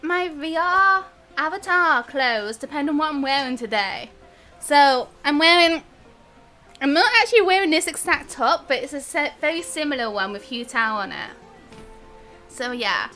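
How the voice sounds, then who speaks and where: A woman chatting casually and bubbly as she hosts a live game stream, quick to giggle. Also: high-pitched, sharp tone. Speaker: live stream gamer and host.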